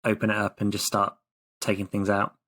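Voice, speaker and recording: A man's voice: fairly low pitch, monotone, brisk, fairly bright tone. A man playing a tabletop game, rambling and flustered as his character makes excuses. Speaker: player. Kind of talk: casual tabletop play in character.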